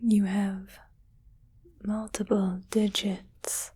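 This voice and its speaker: A breathy, whispering alien lady of great wealth , played by a woman speaks extremely slowly in an ASMR roleplay. She is haughty, greedy and possessive, weighing each short phrase as if appraising a prized item. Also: medium pitch, little pitch movement, warm tone, heavily acted. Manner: slow, deliberate, pausing between short phrases, coolly appraising.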